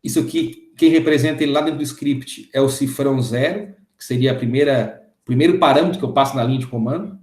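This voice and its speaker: A man's voice: mid-range voice, quick pace, hardly any pauses, a little mellow, reverberant. A man in his thirties teaching a coding lesson, walking through it step by step and often checking in with the class. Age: 30s. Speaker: programming instructor. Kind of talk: screen-recorded coding lesson.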